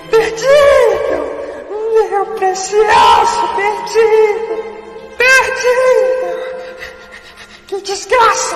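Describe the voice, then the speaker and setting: A woman's voice: very high voice, exaggerated voice. A woman playing a wretched, obsessive cave creature in an audio drama, whining and muttering in petulant repeated phrases that break into whispers. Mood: anguished wailing. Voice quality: whiny, breaking into breathy whispers.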